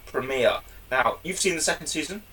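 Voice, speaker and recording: A man's voice: a little high, animated, normal speed, pushed and bright, distant mic, rough recording. Chatty man, a bit off-mic. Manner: chatty, jokey, often addresses co-host by name. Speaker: podcast co-host. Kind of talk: casual review chat.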